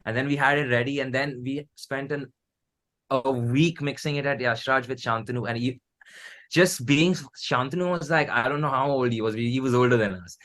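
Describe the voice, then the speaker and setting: A man's voice: mid-range voice, moderate pace, fairly bright tone. A man in his thirties with an Indian accent, chatting casually as a musician being interviewed, circling back and restarting his phrases as he tells anecdotes. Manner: rambling, repeats and restarts phrases.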